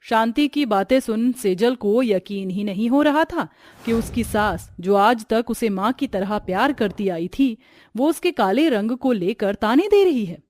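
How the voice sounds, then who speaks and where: A woman's voice: a little high, animated, measured pace, a little mellow, dry recording. A woman narrating a scripted cartoon story as a storyteller.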